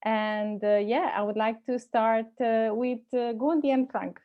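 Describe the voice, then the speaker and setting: A woman in her forties moderating an online meeting from a bright room at home, speaking warmly with lots of hesitations into an earphone mic. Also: fairly high pitch, fairly even intonation, unhurried, narrow, tinny audio. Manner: warm, hesitant, many fillers. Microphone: earphone mic on video call.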